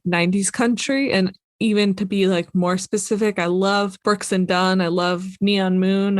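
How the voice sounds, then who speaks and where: A woman's voice: medium pitch, dry recording, studio quality. A woman co-hosting a podcast in casual chat, laughing often and teasing herself. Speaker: podcast co-host.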